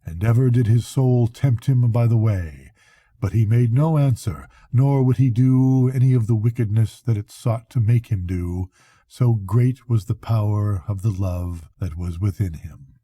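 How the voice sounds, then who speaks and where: An older man reading a bedtime story as a grandfather, in a solemn, measured way. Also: on the low side, mellow, husky, dry recording. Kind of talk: scripted bedtime story narration.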